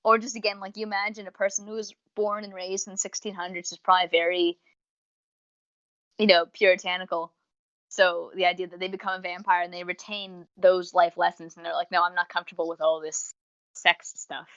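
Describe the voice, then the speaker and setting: Dull-sounding, low-pitched chatty young woman. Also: a little fast, no room sound. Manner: talkative, rambling, animated retelling with acted-out quotes. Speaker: podcast co-host and reviewer. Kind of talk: casual fan discussion. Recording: dull lo-fi voice recording.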